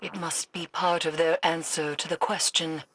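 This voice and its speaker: A woman's voice: sits low, little pitch movement, normal speed, fairly bright tone, dry recording. An actress playing a stern, aloof female mystic in a video game, dry and knowing in manner. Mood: disdainful. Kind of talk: video game cutscene voice acting.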